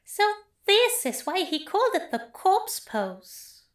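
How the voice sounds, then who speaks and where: Woman voicing a very high, fussy male angel. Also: big pitch swings, takes it slowly, exaggerated voice. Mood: indignant, sulky. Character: fussy, indignant male angel bookseller, prim and grumbling. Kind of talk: audio fan fiction character acting.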